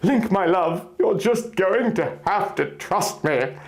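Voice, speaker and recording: A man's voice: high-pitched, animated, slow, voice pushed up, heavily acted. A man voicing a stubborn, devoted young heroine in a melodramatic, emphatic story reading. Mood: earnest, pleading.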